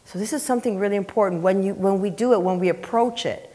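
A woman's voice: mid-range voice, fast talker, warm tone. Woman preaching in a reverberant hall. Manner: emphatic, conversational, with rhetorical questions and repetition. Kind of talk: sermon, teaching scripture to a congregation. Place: church sanctuary, indoors, on the platform. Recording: reverberant hall, picked up in large room.

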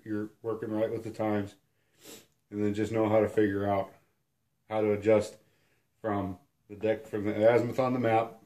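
A man in his thirties, hoarse and sniffling from a cold, giving a rambling, self-deprecating whiteboard lesson at home. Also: fairly low pitch, a little mellow. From Illinois. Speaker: outdoors channel host. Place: small room at home, indoors.

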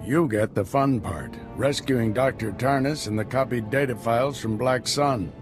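An actor playing an encouraging Jedi mentor in a video game, calm and authoritative. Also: male voice, medium pitch, normal speed, over music, natural voice. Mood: composed. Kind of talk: video game character acting.

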